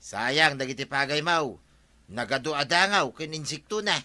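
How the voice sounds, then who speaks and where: A man's voice: a little high, expressive, moderate pace, sharp tone. A man playing a meddling, grumbling village uncle with an Ilocano accent in a radio comedy drama. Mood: chiding.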